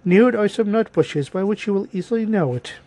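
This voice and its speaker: Man putting on an innocent little girl, high-pitched. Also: animated, brisk, mellow. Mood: polite, trusting. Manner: earnest, polite. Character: innocent, polite, trusting little girl in a fairy tale.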